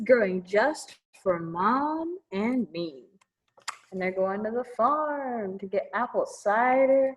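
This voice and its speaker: Woman reading aloud slowly with lively pitch. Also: low-quality recording. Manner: expressive, singsong, child-directed. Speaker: children's librarian leading storytime. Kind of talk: read-aloud picture book storytime with chatty asides.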